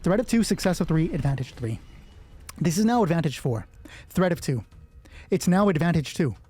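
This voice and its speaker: Male solo game master, husky and slightly high-pitched, casual sweary narration of dice rolls and action, music underneath. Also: lively intonation, normal speed, warm tone. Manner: casual, swearing freely, thinking aloud. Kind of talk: narrating solo tabletop roleplay, reading out dice results.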